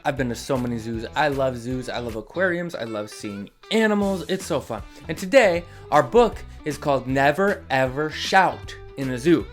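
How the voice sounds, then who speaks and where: Animated man reading a children's picture book. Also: mid-range voice, faint music behind. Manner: dramatic emphasis, drawn-out key words, casual asides to young listeners. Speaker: host of a children's read-along story series. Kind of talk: expressive picture-book read-aloud for children.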